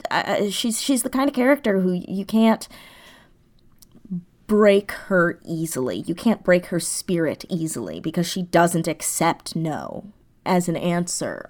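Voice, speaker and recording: A woman chatting casually as an interview guest, effusive and giggly, talking in halting bursts. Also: fairly low pitch, a little mellow. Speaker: interview guest, audio drama creator.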